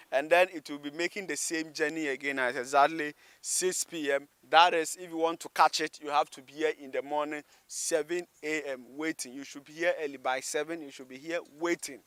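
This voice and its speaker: Emphatic Ghanaian man, higher-pitched voice. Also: steady tone, moderate pace, fairly bright tone, clear, resonant tone, no room sound. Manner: emphatic, repeats words and phrases. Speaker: presenter reporting on location. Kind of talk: spontaneous on-location commentary to camera. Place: outdoors beside a railway.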